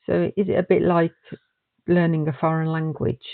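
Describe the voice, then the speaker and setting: A man in a casual conversation, asking gentle, supportive questions and listening closely. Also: a little high, little pitch movement, normal speed, gravelly, dry recording. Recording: dry, phone-like narrow sound.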